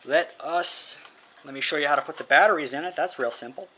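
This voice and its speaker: Male hobby presenter, forward bright tone, casual hands-on explaining, amateur recording with light background noise. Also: a little high, fairly quick. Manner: informal, thinking aloud while working. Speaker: hobbyist presenting an electronics build. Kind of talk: casual how-to demonstration. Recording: noisy amateur recording with insect hum.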